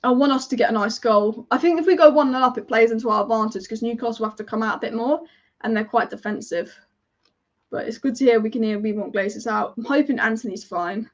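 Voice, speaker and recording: A woman's voice: fairly high pitch, lo-fi sound. A woman commentating a football match as a fan on a live watch-along, chatty and partisan, rambling and reacting to the play as it happens.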